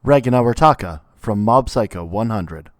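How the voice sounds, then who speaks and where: A man's voice: lowish voice, expressive, moderate pace, no room sound. Man announcing titles, close dry sound. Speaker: voice actor. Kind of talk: announcing character titles for a demo reel.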